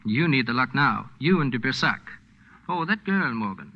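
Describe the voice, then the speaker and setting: A man's voice: mid-range voice, animated, normal speed. An actor playing a wounded underground resistance man in a 1940s radio drama, speaking formally in clipped, urgent phrases. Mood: urgent, strained. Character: wounded, urgent male French underground fighter. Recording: dull 1940s radio recording.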